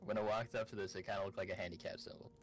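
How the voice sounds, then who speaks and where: Low-voiced man in casual gaming chat. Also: steady tone, rapid-fire. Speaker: co-op game partner. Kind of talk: casual chat, describing puzzle symbols.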